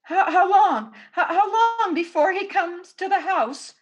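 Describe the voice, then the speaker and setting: Very high-voiced frightened wife. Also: female voice, expressive, measured pace, lo-fi sound, background noise. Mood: scared. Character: frightened, anxious, clinging wife and mother. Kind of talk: old-time radio drama acting.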